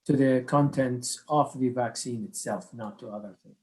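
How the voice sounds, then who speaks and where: A man with a middle-pitched voice speaks as a medical expert on a panel. He explains things in a halting, careful way, answering a question put to him. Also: a little mellow. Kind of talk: answering an audience question in a webinar.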